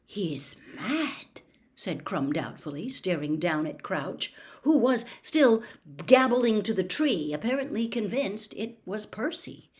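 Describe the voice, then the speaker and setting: A woman's voice: mid-range voice, lively intonation, measured pace. A woman reading a novel aloud as scripted storybook narration. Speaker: audiobook reader.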